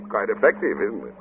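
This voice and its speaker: An actor playing an arrogant, obsessed middle-aged scientist, brisk and lecturing, in old radio drama style. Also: male voice, on the low side, creaky, exaggerated voice. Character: obsessed, arrogant male scientist, cold and impatient. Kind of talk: 1940s radio drama acting. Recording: narrow-band, noisy old radio recording.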